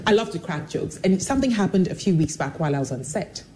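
A woman's voice: on the low side, quick pace, a little hiss or hum. A woman who is a television personality, talking candidly in an interview and acting out the voices of people in her stories. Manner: expressive, animated storyteller who acts out quoted voices. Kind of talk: candid motivational interview talk.

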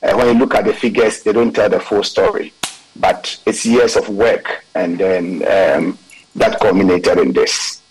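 A man, a politician speaking in a measured, hesitant way with fillers. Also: low-pitched, a little mellow, husky, poor audio. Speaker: politician guest.

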